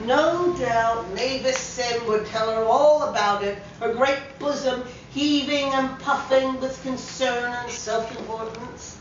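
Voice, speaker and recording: A woman's voice: on the high side, takes it slowly, hardly any pauses. A woman giving a dramatic reading of a short story as an actor would. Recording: very echoey room, distant mic, slightly dull, faint noise.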